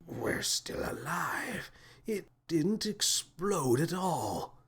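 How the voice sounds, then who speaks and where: A man voicing a mad, excitable male space captain in a children's audiobook, with a theatrical, exclamatory manner. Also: fairly high pitch, very slow, slightly rough, pitched above the performer's own voice, exaggerated voice. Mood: whispered amazement. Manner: theatrical, exclamatory, swinging from menace to delight.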